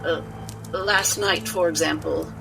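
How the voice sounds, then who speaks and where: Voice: medium pitch, steady tone, slow pace, creaky, over music. Formal, lecturing tabletop player. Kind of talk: in-character tabletop play.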